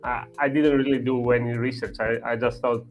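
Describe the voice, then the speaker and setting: A man's voice: medium pitch, level tone, soft background music. Hesitant young man from Albania. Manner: hesitant, many "uh" pauses, slightly broken English. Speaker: guest. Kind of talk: casual chat.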